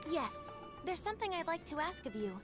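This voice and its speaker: A woman's voice: very high voice, sing-song, brisk. A woman voicing a composed, helpful young woman in an anime game, speaking politely. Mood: composed, concerned. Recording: game music behind the voice.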